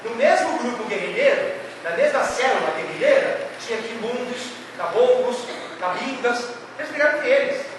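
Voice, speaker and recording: A man from Brazil with a São Paulo accent, giving a lively, theatrical literature lecture and addressing students directly. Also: extremely high pitch, normal speed. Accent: São Paulo state Brazilian Portuguese. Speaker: literature teacher. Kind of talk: animated exam-prep lecture. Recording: echoey hall, far from mic, noisy.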